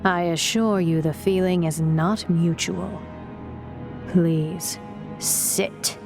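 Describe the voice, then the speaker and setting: A woman's voice: fairly low pitch, steady tone, very slow, over music. A woman playing a cold, authoritative admiral in a science fiction audio drama, formal, icy and clipped. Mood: cold, disdainful.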